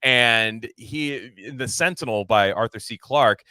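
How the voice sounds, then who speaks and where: A man co-hosting a podcast, talking casually about film in a halting, rambling way and often slipping into imagined dialogue. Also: mid-range voice, sharp tone, no room sound. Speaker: podcast co-host.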